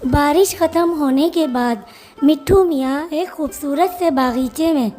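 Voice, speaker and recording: धीमी, ऊँची आवाज़ वाली महिला कथावाचक. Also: female voice, sits high, unhurried, mellow, soft background music. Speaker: narrator. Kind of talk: scripted children's story narration.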